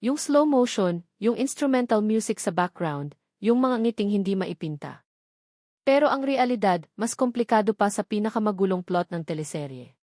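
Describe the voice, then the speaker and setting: A woman's voice: mid-range voice, expressive, moderate pace, mellow, dry recording, clean studio sound. A woman storyteller giving scripted first-person romance narration in a dramatic, sentimental manner.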